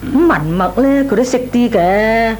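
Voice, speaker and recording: An actress playing a worried, plaintive middle-aged aunt with a Cantonese accent in an old Hong Kong radio drama. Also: female voice, fairly high pitch, expressive, a little slow, a little mellow. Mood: explaining. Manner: plaintive, sighing, exclamatory. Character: fretful married woman, worried pleading aunt. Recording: old radio recording, faint noise.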